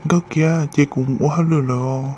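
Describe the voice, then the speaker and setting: An actor voicing a man in an animated family drama, in an amateur cartoon style. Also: medium pitch, moderate pace, lightly acted. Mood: conversational. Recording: dull lo-fi sound, faint noise.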